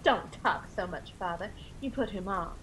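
A woman plays a gentle older mother in a radio drama. Her mid-pitched voice is soothing and fond, with faint rain and rumble behind. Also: lively intonation, moderate pace, natural voice.

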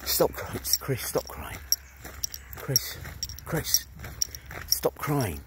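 A man's voice: medium pitch, big pitch swings, extremely slow. A man, doing a brash, sweary, mocking one-sided prank phone call outdoors, filmed on a phone held at arm's length. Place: outdoors among trees. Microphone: phone held at arm's length, headphones on. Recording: noisy outdoor phone recording.

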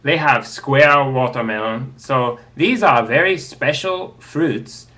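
A man in his thirties whose first language is Japanese, answering audience questions on a video call in a slow, hesitant way with many fillers. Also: mid-range voice, bright, forceful tone. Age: 30s. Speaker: interview guest. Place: home study, indoors. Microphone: earbud headset on a video call.

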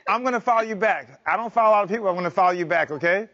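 Middle-aged guy hosting a tv talk show, fairly high voice, keen and encouraging, bit dull sound. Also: fast talker, bright, forceful tone. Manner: enthusiastic, encouraging. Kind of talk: upbeat talk show interview. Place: TV studio set.

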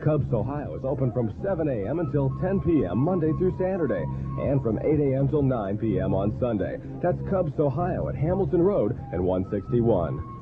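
A man reading a scripted local commercial on an old radio broadcast. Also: mid-range voice. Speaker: radio advertising announcer. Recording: tinny 1970s AM radio broadcast.

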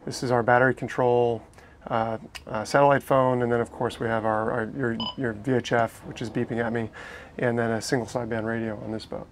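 A man's voice: medium pitch, flat delivery, brisk, a little hiss or hum. Enthusiastic man giving a boat tour. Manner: enthusiastic, explanatory, frequent restarts. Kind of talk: casual walkthrough tour. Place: inside a catamaran saloon, docked.

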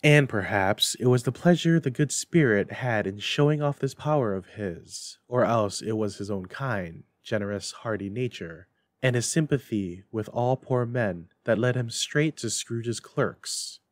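A man's voice: medium pitch, animated, measured pace, no room sound. A man reading a story aloud in a dramatic storybook narration style.

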